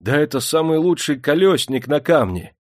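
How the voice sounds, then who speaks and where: Man acting an urgent priest, high raised voice. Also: lively intonation, normal speed, no room sound, clean studio sound. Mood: urgent protest. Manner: urgent, fervent pleading. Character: tall bony village priest, fervently protective.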